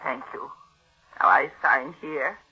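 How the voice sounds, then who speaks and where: An actress playing a weary, commanding elderly aunt in an old-time radio mystery, with a husky voice. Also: female voice, a bass voice, takes it slowly, noisy background. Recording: muffled old radio recording.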